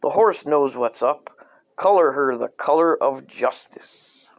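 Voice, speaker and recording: A man reading a comedic parody children's book aloud in a deadpan, scripted storyteller style. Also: medium pitch, slow pace.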